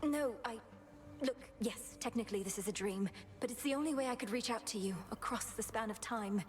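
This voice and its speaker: A woman's voice: a little high, fairly quick, natural voice. Woman acting a solemn young underworld witch princess. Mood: urgent, pleading. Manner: solemn, formal, incantatory. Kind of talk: video game voice acting.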